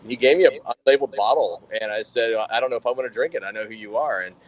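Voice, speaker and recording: A man's voice: medium pitch, quick pace, a little hiss or hum, low-quality recording. Easygoing rambling brewer telling his story. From Florida. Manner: rambling storyteller with frequent fillers and restarts. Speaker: brewery general manager and brewer. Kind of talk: casual podcast interview.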